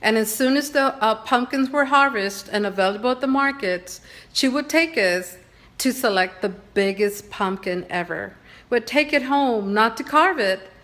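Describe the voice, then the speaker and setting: A woman from Texas giving a prepared, earnest personal presentation in a measured, slightly read-aloud way. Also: on the high side, fairly bright tone. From Texas, San Antonio. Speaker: presenter sharing family background.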